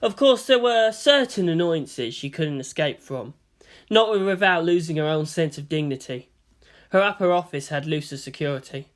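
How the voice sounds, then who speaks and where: A woman's voice: low voice, lively intonation, normal speed. A woman reading a story aloud as scripted narration. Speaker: fan fiction reader and narrator.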